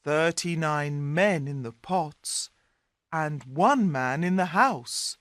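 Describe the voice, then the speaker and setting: Very slow male storyteller, dry sound. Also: a little high, expressive, a bit bright, dry recording. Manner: deliberate, clearly separated phrases. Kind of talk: simplified scripted story narration for English learners.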